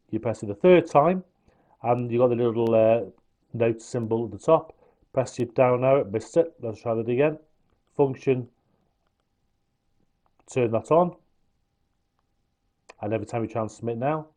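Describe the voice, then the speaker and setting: Man, medium pitch, informal product reviewer, step-by-step explaining, hands-on demonstration. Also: dark tone.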